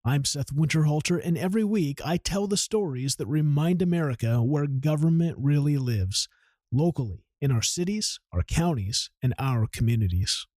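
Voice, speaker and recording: Earnest podcast host, dry close mic. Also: male voice, on the high side, expressive, moderate pace, darkish tone, no room sound. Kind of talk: scripted intro then conversational interview.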